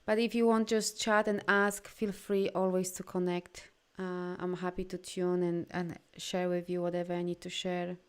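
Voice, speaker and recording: A woman's voice: on the low side, little pitch movement, normal speed, few pauses, clear, resonant tone. A woman who is a non-native English speaker, talking informally as a coach to live viewers in an effusive, encouraging manner. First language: not English. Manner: effusive, encouraging, often reading out comments. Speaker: energy healing coach hosting a live session.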